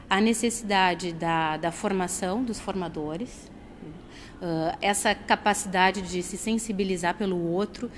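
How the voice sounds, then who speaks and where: A woman teacher answers an interviewer at a middle, even pitch. She speaks thoughtfully, filling gaps with small hesitations. Faint background noise is present. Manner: thoughtful, hesitant with frequent fillers. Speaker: teacher, group facilitator.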